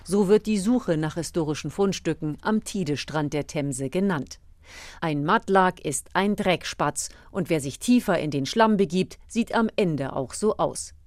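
A woman's voice: on the low side, unhurried, darkish tone, no room sound, studio quality. A woman working as a radio reporter, narrating a scripted feature.

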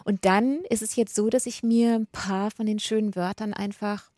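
A woman from Franconia in Bavaria, speaking clean standard German as an interview guest. Her voice sits at a middle pitch and sounds close and dry. She talks in a thoughtful, measured way with a light touch of humor. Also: fairly even intonation, warm tone, no room sound. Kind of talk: reflective conversational storytelling.

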